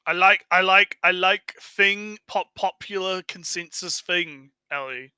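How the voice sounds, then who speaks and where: A man's voice: high voice, unhurried, pushed and bright, smooth, no echo. A man giving blunt, sweary, mocking commentary as a casual stream host. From New Zealand. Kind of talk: casual critical commentary on a video.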